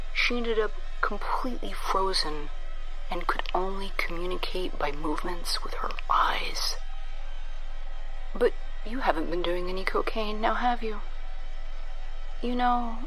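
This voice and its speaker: Bright-voiced sadistic woman doctor, acted by a woman. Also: medium pitch, low-quality recording. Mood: fascinated, clinical. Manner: gloating, clinical, mock-soothing menace. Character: sadistic female doctor obsessed with paralysis experiments. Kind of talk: audio drama villain monologue.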